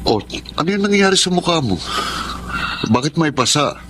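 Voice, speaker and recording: An actor playing a concerned, plain-speaking older brother who gives advice in a radio drama. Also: male voice, a little high, very animated, normal speed, background noise. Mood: concerned, firm. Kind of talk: Tagalog radio drama acting.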